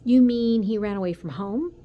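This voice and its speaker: A woman's voice: medium pitch, moderate pace, muffled recording, lightly acted. A woman voicing an earnest, loyal schoolboy detective in a storybook, eager and insistent. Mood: curious. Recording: noticeable background noise.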